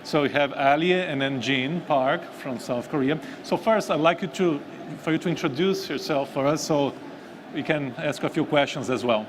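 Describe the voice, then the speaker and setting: A man's voice: fairly high pitch, normal speed. A man moderating a live panel in a casual conversational style, introducing and questioning guests. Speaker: panel moderator, team member. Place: live stream stage at a busy event. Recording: noisy event floor ambience.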